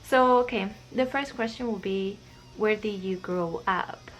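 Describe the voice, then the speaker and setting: A woman's voice: medium pitch, measured pace, soft background music. A young woman from Spain with a Spanish accent, chatting shyly and hesitantly to camera, with many fillers. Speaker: vlogger. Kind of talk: casual chat to camera.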